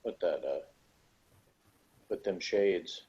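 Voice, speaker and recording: A man's voice: low voice, slow pace, warm tone, husky. A man in casual conversation, talking in an offhand, sardonic way. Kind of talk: casual chat. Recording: dull lo-fi recording.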